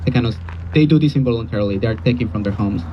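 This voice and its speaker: A man's voice: medium pitch, very fast. A man from Colombia with a Colombian accent and Spanish as his first language, giving an informal, personable speech as a community organizer. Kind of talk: informal public rally speech. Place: outdoor rally near a road. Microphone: handed-around PA microphone. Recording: dull lo-fi audio, faint vehicle noise.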